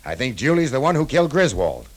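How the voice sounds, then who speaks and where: An actor playing a tough, shrewd cafe owner turned detective in a 1950s radio drama, terse and hard-boiled. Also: male voice, mid-range voice, wildly swooping pitch, fast. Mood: blunt, urgent.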